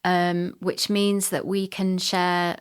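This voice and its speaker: Middle-aged woman, slightly low voice. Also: level tone, slow pace, smooth. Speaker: podcast guest, sound designer and producer. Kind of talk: casual interview chat.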